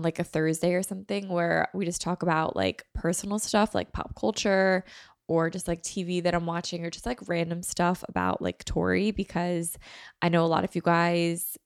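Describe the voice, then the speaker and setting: A young woman chatting casually on her solo podcast, nasal and stuffy from a head cold. Her lowish voice has a gravelly fry, and the sound is close and dry with no room around it. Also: normal speed, no room sound. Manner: chatty, many fillers. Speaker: podcast host.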